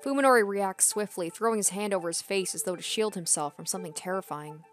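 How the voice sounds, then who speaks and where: A woman's voice: medium pitch, fast talker. A woman streaming a game, reading visual novel narration aloud with casual asides. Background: soft game music.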